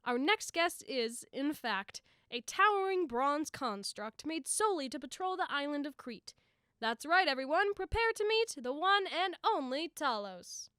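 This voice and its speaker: Young woman as officious god talk-show host, high, animated, dry sound, theatrical, exasperated, audio drama. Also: measured pace, no echo, exaggerated voice. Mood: brisk host. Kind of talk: amateur audio drama acting.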